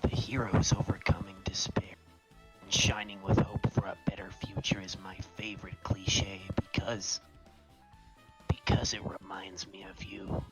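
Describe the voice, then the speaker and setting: A performer voicing a soft-spoken, self-deprecating young man obsessed with hope, with breathy, fawning, lingering delivery, in a fan fiction reading. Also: low-pitched, little pitch movement, unhurried, whispered, faint music behind, voice pushed down, poor audio. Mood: tender, admiring.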